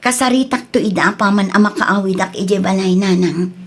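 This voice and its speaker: A long-suffering young wife and daughter in an Ilocano radio drama. Her voice sits at a middle pitch, with an Ilocano accent and earnest, emotional drama delivery. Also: moderate pace, husky. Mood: resolved, quiet.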